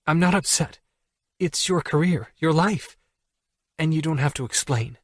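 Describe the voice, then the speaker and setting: A man reading an audiobook, voicing a flustered young woman in a higher, softer, breathless voice. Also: measured pace, no echo, studio quality.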